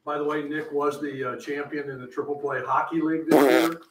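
A man's voice: a little high, flat delivery, fairly quick, noisy background. A man chatting at a card break table, making offhand wisecracks and side remarks. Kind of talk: casual group chat. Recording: roomy, a little far from the mic.

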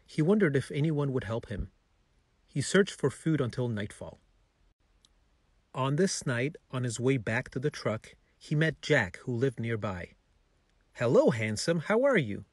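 A man's voice: mid-range voice, dry recording, studio quality. A man narrating a scripted children's bedtime story.

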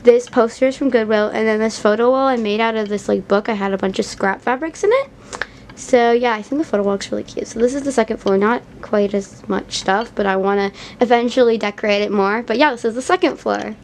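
A woman's voice: on the high side, a little fast, slight background noise. A young girl with an American accent, chatting casually and informally as she narrates a home vlog tour. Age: young, child or teenager. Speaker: doll vlogger giving a house tour. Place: indoor room at home, behind the camera.

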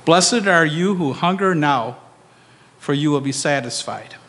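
A man's voice: fairly high pitch, lively intonation, measured pace, a bit bright. Older man reading scripture, echoey hall. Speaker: scripture reader at a church service. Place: church sanctuary, indoors. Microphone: microphone on a stand. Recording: echoey church hall reverb.

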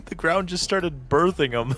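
A man streaming a multiplayer game, reacting loudly with shrieks, laughs and yelps of panic. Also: fairly high pitch. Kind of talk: excited gaming commentary.

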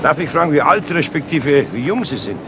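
An actor playing a dry, probing Bavarian police inspector in a 1970s radio crime play, questioning in a measured way. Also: male voice, a little high, sing-song. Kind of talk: 1970s German radio crime drama acting. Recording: faintly noisy vintage radio play recording.

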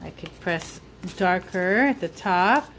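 Slow-paced woman teaching drawing. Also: lowish voice, lively intonation, a bit bright, slight background noise. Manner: informal, thinking aloud while drawing. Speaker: art teacher. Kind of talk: step-by-step drawing lesson for children. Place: indoors at a table.

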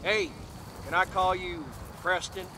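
An actor playing a brash, blunt, simple-minded soldier in a video game. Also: male voice, high-pitched, fairly even intonation, a little slow, background noise. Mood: matter-of-fact.

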